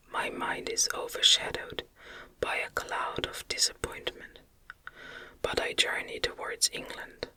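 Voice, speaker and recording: A woman reading a novel aloud as ASMR narration in a gentle, soothing, breathy whisper.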